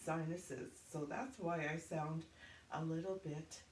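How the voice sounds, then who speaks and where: A woman's voice: low-pitched, fairly even intonation, slow pace, slight background noise. A woman from Canada, stuffed up and congested, chatting through a guided chair exercise class and counting reps. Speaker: fitness instructor. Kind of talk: guided chair workout instruction. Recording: roomy, from a distance, loud music. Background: loud upbeat music.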